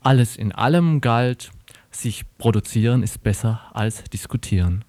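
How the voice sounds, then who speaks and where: A man's voice: medium pitch, unhurried, darkish tone, no room sound. Wry male reporter, dry studio sound. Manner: wry, ironic. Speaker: radio reporter. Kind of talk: scripted satirical narration.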